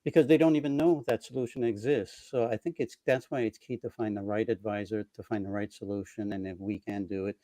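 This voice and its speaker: Mellow, low-pitched man, close and dry. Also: fairly even intonation, fairly quick, hardly any pauses, dry recording. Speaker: financial advisor, panelist. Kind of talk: informal panel discussion.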